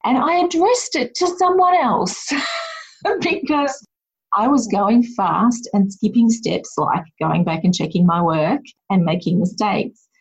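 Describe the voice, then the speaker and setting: Husky low-voiced woman chatting reflectively. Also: lively intonation, slow, low-quality recording. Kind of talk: casual chat.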